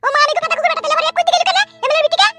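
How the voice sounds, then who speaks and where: A voice performer playing a shrill, nagging mother in a cartoon, who scolds her husband without pause. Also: fairly even intonation, heavy background noise. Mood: scolding. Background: background music.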